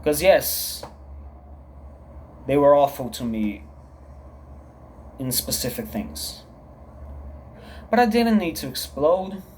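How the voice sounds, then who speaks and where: A man in his thirties from Brazil, a native Portuguese speaker with a Brazilian accent, talking to camera in a confessional solo vlog, halting and heavy, often repeating himself. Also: on the high side, sing-song, darkish tone, a little hiss or hum. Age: 30s. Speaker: channel creator. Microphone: camera recording himself.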